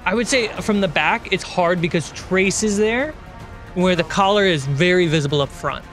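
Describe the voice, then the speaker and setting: A man runs a tabletop game as its game master. His voice sits a little high, and he narrates descriptively with some drama. Loud music plays underneath him. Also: moderate pace. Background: prominent music score.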